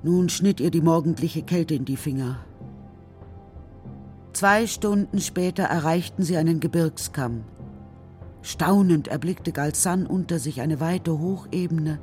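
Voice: mid-range voice, lively intonation, a little slow, darkish tone, soft background music. A narrator telling a children's story in a scripted radio drama style.

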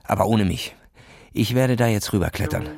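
A man's voice: on the low side, moderate pace, creaky, crowd noise. A man voicing a cocky, impatient schoolboy who boasts and taunts in a children's audio story. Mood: taunting. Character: cocky, impatient, daredevil schoolboy acting cool.

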